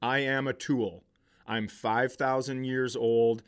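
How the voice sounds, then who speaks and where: A middle-aged man with a mid-pitched voice hosts a comedy podcast. He tells stories casually and profanely, acting out quoted lines as he goes. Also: slow, smooth. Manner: profane, animated retelling with acted-out quotes. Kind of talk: casual comic storytelling.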